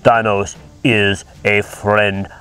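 A pompous villain warlord gloats in a low, slow voice, breaking into booming ho-ho laughs and talking about himself in the third person, as a man puts him on during toy play. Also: level tone, sharp tone, clean tone, heavily acted. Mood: gloating.

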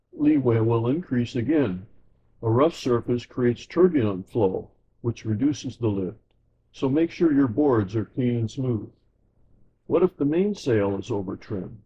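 A man giving a scripted sailing lesson as slideshow narration, in an even, measured explanatory way. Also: medium pitch, dull audio, slight background noise. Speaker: sailing instructor.

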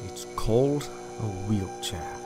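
An actor playing a controlling, condescending agent of a dystopian ruler in an audio drama, speaking gravely and deliberately. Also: male voice, fairly low pitch, expressive, dark tone, soft background music.

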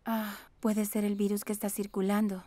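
A woman playing a caring young mother, tender and concerned yet firm, in an animated children's show. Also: medium pitch, normal speed, no room sound, close to an everyday voice. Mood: worried.

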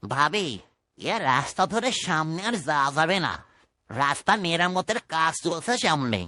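A man's voice: a little high, animated, moderate pace, pushed and bright, no room sound, clean studio sound. A man voicing a chatty, reassuring village fellow in a fairytale cartoon, with an easygoing manner. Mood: folksy, reassuring. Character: folksy, chatty village man, husband and cart driver. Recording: noisy background.

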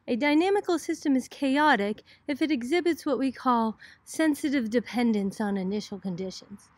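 A middle-aged woman with a high voice delivers an informal educational talk. She explains things conversationally, as a college lecturer would in a homemade video. The sound is dry, with little room echo. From Boston, United States.

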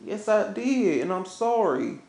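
Woman playing a lower-voiced, pleading apologetic boyfriend. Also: very animated, vocal fry, darkish tone. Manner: halting, placating, firm. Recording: echoey, roomy sound.

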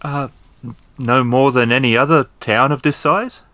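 A man's voice: mid-range voice, normal speed, sharp tone, no room sound, voice pushed up, lightly acted. Man voicing a shaken, polite townswoman. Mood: polite, bewildered. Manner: hesitant, formal.

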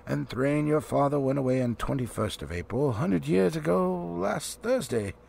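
Man voicing a stern, emphatic old wizard, gravelly. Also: medium pitch, animated, moderate pace, a little mellow. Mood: testy, firm. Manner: emphatic, grim. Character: stern old male wizard, authoritative and testy. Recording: faint background noise.

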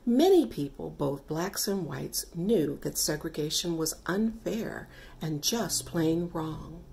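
A middle-aged woman reading her own children's picture book aloud, with deliberate, expressive phrasing for young listeners. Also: low voice, vocal fry. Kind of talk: read-aloud children's story narration.

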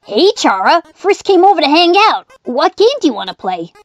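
A woman's voice: sits high, sing-song, normal speed, no echo. A woman voicing an earnest, easily exasperated young child in a comedic comic dub, hesitant and pleading. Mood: innocent, eager.

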